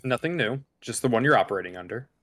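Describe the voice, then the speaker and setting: Quick, detached male spaceship officer played by a man. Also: mid-range voice, lively intonation, fairly bright tone. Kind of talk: scripted sci-fi table read.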